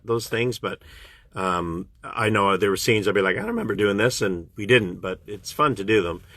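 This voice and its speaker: A man from Canada, a voice actor, chatting casually with fans on a livestream and answering their questions. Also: no room sound. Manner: chatty and animated, jumps up in pitch when excited. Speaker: voice actor hosting a fan livestream. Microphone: phone livestream.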